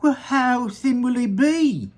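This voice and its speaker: A storybook reader voicing an anxious middle-aged mother in a high, wailing, fretful voice. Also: lively intonation, slow, a little mellow.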